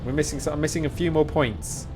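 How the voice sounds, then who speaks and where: A man's voice: on the high side, fast talker, music in the background. A man live streaming a game, chatting casually in a rambling, jokey way. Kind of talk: casual gaming chat.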